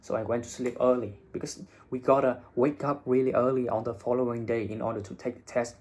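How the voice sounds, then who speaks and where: A man's voice: medium pitch, little pitch movement, brisk, a little mellow. Blunt young man vlogging. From Vietnam. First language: Vietnamese. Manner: blunt, swears casually. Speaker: IELTS teacher and vlogger. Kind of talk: casual advice monologue.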